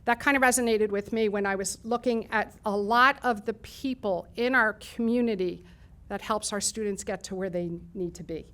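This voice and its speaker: A woman's voice: mid-range voice, moderate pace, a bit bright. Middle-aged woman, college program leader, formal ceremony speech, reads long lists of thanks.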